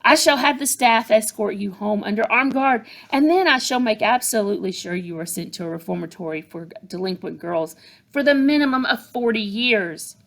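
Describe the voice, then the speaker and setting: A woman voicing a huge, cruel headmistress who barks scornful insults, with a gravelly edge. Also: medium pitch, moderate pace, close to an everyday voice. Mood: raging. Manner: barking, scornful tirades. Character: huge bullying female headmistress, cruel and bellowing.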